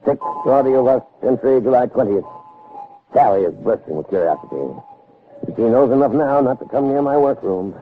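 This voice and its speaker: An actor playing an intense, obsessive scientist with theatrical, brooding delivery in an early 1960s radio drama. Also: male voice, mid-range voice, animated, normal speed, narrow, tinny audio, music in the background, natural voice. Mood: measured dictation. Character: intense, obsessive male scientist impatient with human weakness. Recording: thin, noisy old radio recording.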